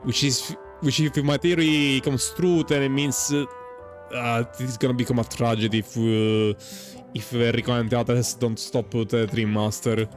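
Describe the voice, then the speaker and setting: A man giving casual playthrough commentary on a video game in a rambling, halting manner. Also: medium pitch, fairly even intonation. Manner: rambling, halting, says "lol" aloud. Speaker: gaming content creator. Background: faint game music.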